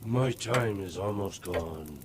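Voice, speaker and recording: A man playing the anguished ghost of a dead business partner in a theatrical audio drama, with wailing, drawn-out delivery. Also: low-pitched, slight background noise. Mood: grave warning.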